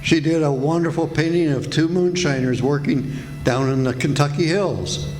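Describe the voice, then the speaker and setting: A man's voice: on the high side, normal speed, a little mellow, soft background music, lightly acted, low-quality recording. An actor playing a meek, henpecked, cheerfully resigned little man in 1940s-style radio comedy, mild, polite and prim. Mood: rueful.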